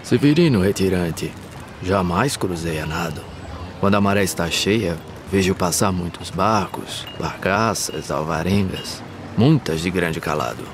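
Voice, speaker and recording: A Brazilian actor playing a stout, patient older village carpenter who gives counsel in declaimed verse for an animated drama. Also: male voice, low voice, measured pace. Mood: plain, wry. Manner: declamatory, counselling, swooping emphasis. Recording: outdoor ambience noise behind the voice.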